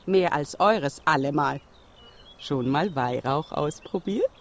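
A woman's voice: deep voice, expressive, slow pace, full character voice. An actress playing a cool, brash female stoner with a slangy, offhand manner in a verse radio play. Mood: cool, enticing. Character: cool, brash dope-offering stoner named Edeltraud, female.

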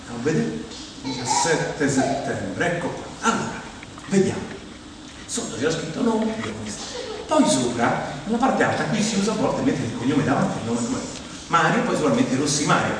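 A man's voice: fairly high pitch, moderate pace. Roman man's monologue in a very echoey hall. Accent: Roman Italian. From Rome, Italy. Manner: animated, rambling, acts out quoted dialogue, addresses the audience. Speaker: actor performing an autobiographical stage monologue. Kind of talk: humorous storytelling monologue, conversational. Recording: very echoey hall, distant mic, clear background noise.

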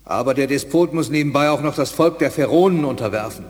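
A man's voice: medium pitch, fast, close to an everyday voice. Man as reverberant, skeptical reptilian commander. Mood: scornful, defiant. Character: reptilian alien commander, doubtful subordinate turned defiant. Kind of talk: 1980s German radio drama acting. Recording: studio radio play with long reverb.

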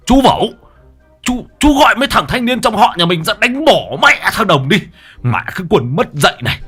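A man voicing a furious bald old village man who shouts threats and curses. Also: high voice, very animated, normal speed, husky, soft background music, pitched above the performer's own voice, full character voice. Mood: raging. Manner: shouting, raging, cursing.

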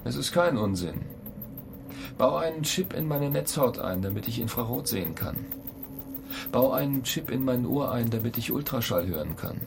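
A man's voice: medium pitch, animated, normal speed. A man playing an irritable, ambitious astronaut in a radio play, blunt, impatient and argumentative. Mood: insistent. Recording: clear noise bed behind the voice.

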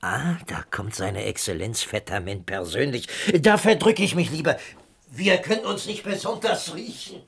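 Husky, wry old prairie scout. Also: male voice, mid-range voice, animated, moderate pace. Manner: wry, folksy, teasing. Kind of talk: German radio play acting.

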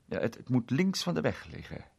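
A man's voice: mid-range voice, sing-song, a little fast, creaky, slightly rough, pitched above the performer's own voice, exaggerated voice. A man reading a story, voicing a bustling police inspector who talks eagerly and repeats his words.

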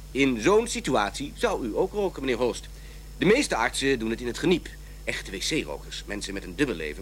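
A man's voice: mid-range voice, a bit bright, slight background noise. An actor playing a blunt, proudly smoking male doctor in an audio drama, with a dry, sardonic and theatrical manner.

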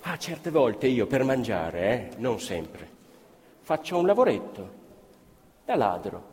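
A man's voice: mid-range voice, sing-song, takes it slowly, darkish tone. A scrappy poor boy brags and teases, voiced by a man with a voice that leaps up and down. It is a live theatre recording with a long, hall-like reverb. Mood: boastful. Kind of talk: stage theatre acting.

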